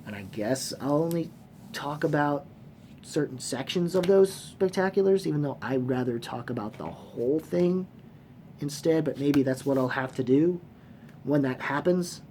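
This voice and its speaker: A man hosting a casual fan vlog, talking off the cuff in a halting, rambling way, repeating phrases and swearing casually. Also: on the high side, animated, dark tone. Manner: casually profane. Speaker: host of a movie trivia fan channel. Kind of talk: casual unscripted vlog commentary. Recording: clearly noisy room sound.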